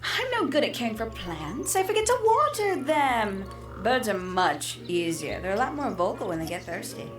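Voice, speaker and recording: A prim, gossipy eccentric from a mystery game. Her voice sits fairly high and swoops up and down a great deal, with music clearly playing underneath. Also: very animated, moderate pace, few pauses. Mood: chatty. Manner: prim, chatty, a little scatterbrained. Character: prim eccentric woman, gossipy bird lover. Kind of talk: video game voice acting.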